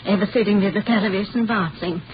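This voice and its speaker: An actress playing a frightened, indignant Swedish housemaid with a Swedish accent, giving witness in halting broken English in an old radio drama. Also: female voice, medium pitch, crowd noise, natural voice. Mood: frightened, recounting. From Sweden. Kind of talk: mid-century radio drama acting. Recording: dull, noisy old radio recording.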